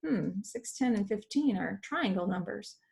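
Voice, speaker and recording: A woman's voice: medium pitch, expressive, moderate pace, creaky, darkish tone. A woman teacher giving an explanatory read-aloud lesson for children, recorded at a computer in a room at home.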